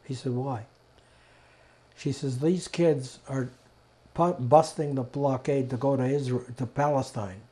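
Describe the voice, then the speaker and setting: An elderly man from Czechoslovakia giving personal testimony, telling his story deliberately in short, emphatic phrases with pauses. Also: mid-range voice, steady tone, warm tone. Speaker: interviewee, Holocaust survivor giving testimony. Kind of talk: personal oral history testimony.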